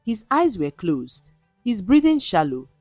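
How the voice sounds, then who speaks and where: A woman storyteller reading a scripted folktale in a dramatic, measured way. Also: medium pitch, big pitch swings, a little mellow, dry recording, soft background music.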